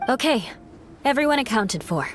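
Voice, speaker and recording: A woman voicing a composed, dutiful young woman adventurer in a video game, speaking in a measured, protective way. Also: a little high, faint music behind, natural voice. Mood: composed, practical. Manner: measured, steady, formal.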